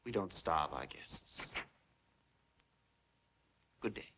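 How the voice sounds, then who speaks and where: Oily secret-police major, over-polite, 1950s film drama, muffled noisy soundtrack. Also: male voice, low voice, animated, drawn-out words, creaky, exaggerated voice. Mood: smoothly reassuring. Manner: polite, unctuous, mock-sympathetic. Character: smooth, falsely courteous Soviet secret-police major interrogating a prisoner.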